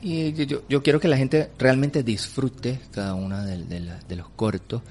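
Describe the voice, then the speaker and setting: A man with a Venezuelan accent, an interview guest explaining his views emphatically and sometimes pausing to find words. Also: medium pitch, steady tone, darkish tone. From Caracas, Venezuela. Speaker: film festival director, interview guest. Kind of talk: spontaneous radio interview, opinionated explanation. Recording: clearly audible background noise.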